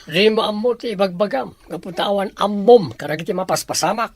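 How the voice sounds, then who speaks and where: Man acting a high-pitched, domineering villainous Filipino uncle. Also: brisk. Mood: threatening, angry. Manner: gruff, dismissive, commanding. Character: greedy, domineering villainous uncle grabbing a ranch. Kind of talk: Ilocano radio-style audio drama acting.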